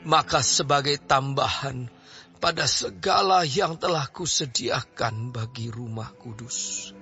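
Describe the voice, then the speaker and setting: A man's voice: medium pitch, slow pace, sharp tone. An actor playing an aged, devout King David in an audio drama, solemn and declamatory, speaking with reverent deliberation. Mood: solemn, earnest. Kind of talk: audio drama Bible acting. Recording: slightly dull audio under clear music.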